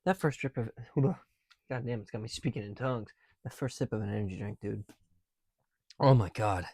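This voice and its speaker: A man records a casual ASMR chat in a dry, close space. He rambles with swearing and teasing, slipping between hushed murmurs and fuller speech. His breath is audible through a mask. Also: on the low side, moderate pace, breathy edge, dry recording. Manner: drifts between soft whispering and louder talk. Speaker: ASMR creator. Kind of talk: casual first-person ASMR build chat. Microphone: close mic, speaking through a mask.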